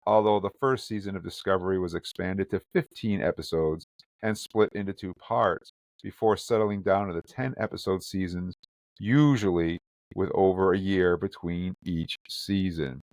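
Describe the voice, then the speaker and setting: A man's voice: deep voice, fairly even intonation, moderate pace, a little mellow, no room sound. A man hosting a fan podcast, chatting casually and reading news items aloud. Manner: chatty, reads articles aloud with quotes. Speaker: podcast host.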